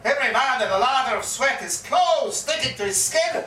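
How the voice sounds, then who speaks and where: A man's voice: extremely high pitch, expressive, moderate pace, bright, forceful tone, full character voice. A man on stage playing a garrulous old soldier with an Irish accent, telling a war yarn with theatrical repetition. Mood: wry, wheedling. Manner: theatrical, dramatic storytelling with repeated phrases. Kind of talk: live stage performance of a comic one-act play. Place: live performance in a pub. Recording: echoey, distant live pub recording, faint noise.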